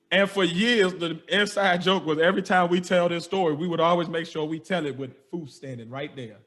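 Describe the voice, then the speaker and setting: A young man hosting a podcast, telling a wild story in casual slang, animated and laughing as he goes. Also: a little high, moderate pace.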